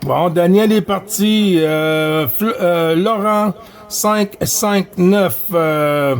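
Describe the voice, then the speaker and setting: A high-voiced man with a Quebec French accent works a CB radio frequency as the operator. He chats courteously in radio jargon, handing the microphone from one station to another. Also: extremely slow. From Quebec, Canada. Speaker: radio amateur operator running a contact session. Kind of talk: CB radio contact chat.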